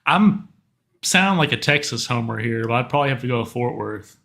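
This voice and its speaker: A man co-hosts a casual podcast. His voice is of average pitch for a man, and he speaks in an informal, conversational style. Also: lively intonation, a little fast, creaky, a bit bright. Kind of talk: casual chat.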